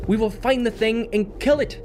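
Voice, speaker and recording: A man's voice: high-pitched, fairly even intonation, normal speed, a little mellow, lightly acted. A man playing a driven, hot-tempered police detective with a troubled past in an audio drama, terse and confrontational. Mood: suspicious, resolute. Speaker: voice actor. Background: music.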